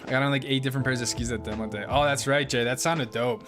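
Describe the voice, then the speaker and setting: Young male streamer, casual and easygoing, mid-pitch, faint music behind. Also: fairly even intonation, quick pace. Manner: laid-back, laughs often, swears casually. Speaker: livestream host reading chat. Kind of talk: casual live stream chat.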